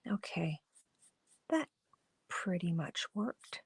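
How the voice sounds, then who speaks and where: Slow-talking gravelly-voiced woman crafter. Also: fairly low pitch, very animated, dry recording. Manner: chatty, thinks aloud while coloring, greets viewers by name. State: slightly hoarse, froggy voice. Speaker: card-making live stream host. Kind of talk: casual live crafting demo talking to viewers.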